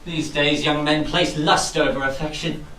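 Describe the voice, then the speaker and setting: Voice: medium pitch, steady tone, normal speed, a bit bright, a little hiss or hum, close to an everyday voice. An actor playing a florid, bumbling lovestruck poet suitor, gushing rhymed verse in a comic costume-drama stage play. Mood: flowery, pleading. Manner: gushing, declamatory verse with flustered stammering. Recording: echoey stage, far from the mic.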